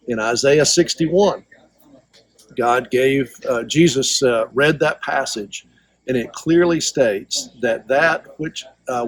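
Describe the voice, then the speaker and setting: Slow, husky man leading a retreat. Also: mid-range voice, dry recording, rough recording. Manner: slow and halting, many uh pauses. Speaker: retreat leader. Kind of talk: spoken testimony for a video.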